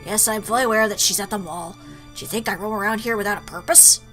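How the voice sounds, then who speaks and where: A woman's voice: medium pitch, fast, a bit bright, soft background music, natural voice. A woman voicing a fierce, blunt female fish warrior from a game who is awkward about love. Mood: relieved, earnest. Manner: blunt, brash.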